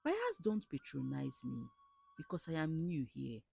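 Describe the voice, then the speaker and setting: A woman's voice: deep voice, huge pitch swings, measured pace, vocal fry, warm tone, husky, faint music behind, exaggerated voice. A woman with a Nigerian accent voicing a desperate, naive male shop owner in a folktale, plaintive and earnest. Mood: despondent lament. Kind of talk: storybook folktale character.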